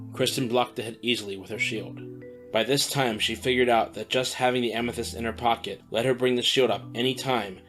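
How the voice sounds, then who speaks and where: A man's voice: mid-range voice, a bit bright. A man narrating an audio drama in a scripted storytelling style.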